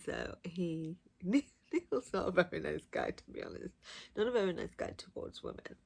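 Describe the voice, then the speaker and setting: Low-voiced woman, gushing audiobook reviewer. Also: animated, moderate pace, creaky, slightly rough. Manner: gushing, emphatic. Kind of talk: casual, unscripted spoken review.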